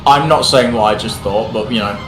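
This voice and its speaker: A man's voice: on the low side, brisk. A man in his twenties joking and mocking himself while gaming with friends. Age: 20s. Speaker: gamer. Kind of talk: casual gaming banter. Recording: echoey with game music. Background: game music.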